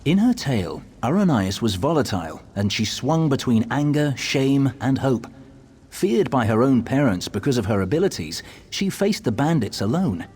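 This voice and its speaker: An actor playing a wise, earnest storyteller guide in a fantasy video game, measured and solemn. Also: male voice, mid-range voice, sing-song, a little mellow, slightly rough. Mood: solemn, urging.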